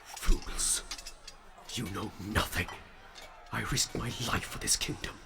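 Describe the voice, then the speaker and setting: A man playing a bitter, proud war-veteran soldier in a dark fairytale, with a gravelly, seething, contemptuous delivery. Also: lowish voice, slow, recorded from a distance, noisy background. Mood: seething contempt. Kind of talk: dramatic fairytale audio acting.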